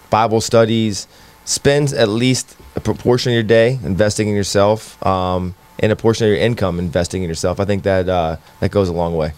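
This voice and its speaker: A man with a lowish voice talks as a podcast guest. He is calm and thoughtful and pauses on fillers as he works through his answers. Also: clear, resonant tone. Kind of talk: casual chat.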